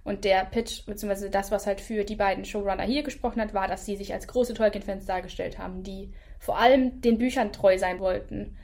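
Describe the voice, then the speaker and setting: A woman's voice: mid-range voice, fairly even intonation, fairly quick, hardly any pauses, darkish tone. A woman co-hosting a casual review chat, voicing firm opinions with frequent "ähm" fillers.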